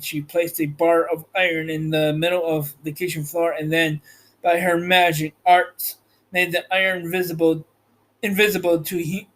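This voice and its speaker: A man reading a children's story aloud as narrator, with a halting delivery that stumbles over words. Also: on the high side, low-quality recording. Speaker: audiobook narrator and host.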